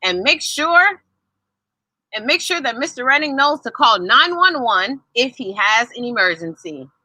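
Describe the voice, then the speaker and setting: A woman plays a friendly male heart doctor from a children's storybook. He explains things in an emphatic, jovial way, with big swings of pitch and a very bright edge. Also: big pitch swings, measured pace, no echo, heavily acted, poor audio. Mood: reassuring. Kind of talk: children's storybook read-aloud.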